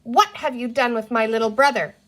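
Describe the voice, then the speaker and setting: Woman reader doing a bossy storybook girl, takes charge, firm and kinda high. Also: brisk, fairly bright tone, slight background noise, close to an everyday voice. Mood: grim, accusing. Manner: firm, commanding. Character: bossy, decisive girl leading younger children.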